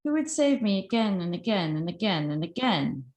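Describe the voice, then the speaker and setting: A woman's voice: medium pitch, big pitch swings, normal speed, darkish tone, echoey room. A woman playing a confident, affectionate reporter with a playful, wry manner in a script table read.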